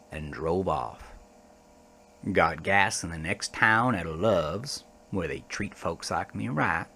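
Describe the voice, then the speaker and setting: An actor playing a shaken, defensive American trucker, telling a horror story in a coarse, folksy, rambling way for an audio drama. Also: male voice, lowish voice, bright, forceful tone, exaggerated voice. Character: shaken, defensive trucker confessing a horrifying roadside encounter. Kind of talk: dramatic first-person horror audio monologue.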